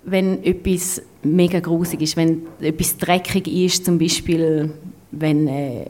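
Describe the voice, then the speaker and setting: A woman's voice: sits low, steady tone, slow pace, a little mellow. A woman with a Swiss German accent, hosting a podcast interview in a casual, chatty style. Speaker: podcast host.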